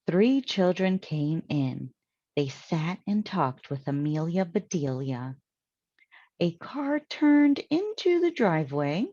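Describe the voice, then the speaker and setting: Slow, expressive American woman reading a story. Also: on the low side, dry recording, highs cut off. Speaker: storytime reader. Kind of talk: animated children's read-aloud narration.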